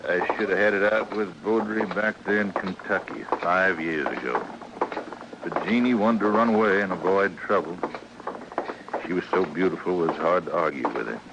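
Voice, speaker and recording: Deep male voice, stubborn honorable frontiersman, grave, resigned, noisy vintage radio recording. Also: normal speed. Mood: grim resignation. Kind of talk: 1950s radio western acting.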